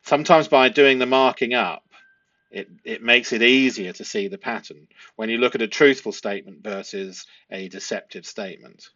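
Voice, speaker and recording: Low-voiced man, halting analytical commentary. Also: sharp tone, no room sound. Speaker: host, statement analysis commentator. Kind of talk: analytical commentary reading transcript excerpts aloud. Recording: dull, muffled lo-fi audio.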